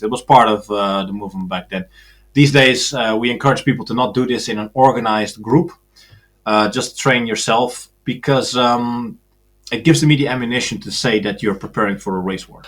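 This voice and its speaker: A man from Belgium speaks in a fairly low voice as a podcast guest. His delivery is matter-of-fact, and he slips in sardonic asides. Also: a bit bright. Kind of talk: casual podcast conversation.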